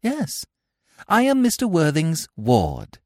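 A man putting on a high, sweetly prim young lady. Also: huge pitch swings, moderate pace, no echo, studio quality, heavily acted. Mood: sweetly eager. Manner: girlish, prim, eager to please. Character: young upper-class woman, sweetly naive ward.

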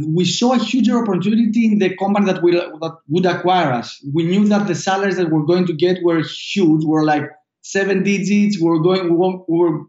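A man with a Greek accent, a founder answering interview questions in a halting way, full of fillers and restarts. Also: high-pitched, a little mellow. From Greece. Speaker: startup founder guest. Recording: echoey, dull lo-fi sound.

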